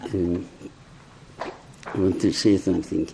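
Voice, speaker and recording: An elderly man in his eighties or nineties giving a personal testimony to young people. He talks slowly and reflectively, in halting phrases with frequent restarts, and says he is hard of hearing. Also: low-pitched, steady tone, creaky, warm tone, slight background noise, poor audio. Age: older, 80s or 90s.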